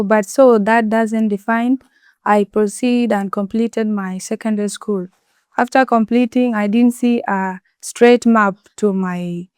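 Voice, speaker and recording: An earnest young woman recalls her path through school in an interview. Her voice sits a touch high and sounds smooth and clean. It is close and dry. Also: darkish tone, no room sound. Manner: earnest, slightly halting storytelling. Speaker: ICT community mobilizer. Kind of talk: personal account in an interview.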